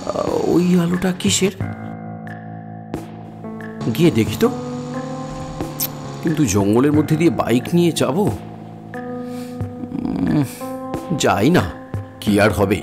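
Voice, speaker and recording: Brief: man playing a steady cartoon forest ranger, expressive pitch, reasoning and lightly teasing, soft music behind. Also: takes it slowly, long pauses. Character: dutiful older brother, newly posted forest ranger. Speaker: narrating his own thoughts while riding. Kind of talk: Bengali cartoon voice acting.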